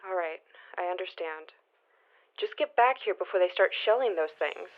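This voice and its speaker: Actress as earnest, practical wife, muffled sound. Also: female voice, fairly low pitch, lively intonation, moderate pace, natural voice. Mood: concerned. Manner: questioning, earnest. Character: worried, practical wife seeking reassurance. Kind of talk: modern audio drama acting.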